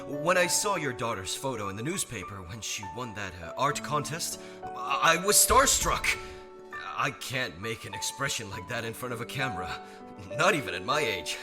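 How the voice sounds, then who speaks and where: A man's voice: medium pitch, sing-song, moderate pace, close to an everyday voice. A man voicing a gushing, polite idol talent scout in an anime dub. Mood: gushing, flustered. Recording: music behind the voice.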